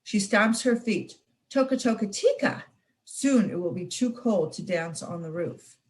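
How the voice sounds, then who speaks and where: A young woman student reading a children's picture book aloud, expressive and playful with the sound words. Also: medium pitch, a little slow, slight background noise. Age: young adult. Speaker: student reader.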